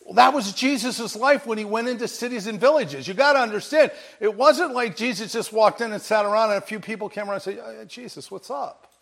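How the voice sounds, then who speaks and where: High-pitched animated male preacher. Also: a little fast, gravelly, few pauses. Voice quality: big voice. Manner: animated storytelling, acting out bits of dialogue. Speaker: pastor. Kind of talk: sermon.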